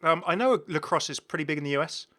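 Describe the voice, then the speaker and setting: A man's voice: fairly high pitch, lively intonation, quick pace, bright, forceful tone. A British man giving casual, enthusiastic game commentary, rambling and often correcting himself as he goes. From United Kingdom. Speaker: gaming video host.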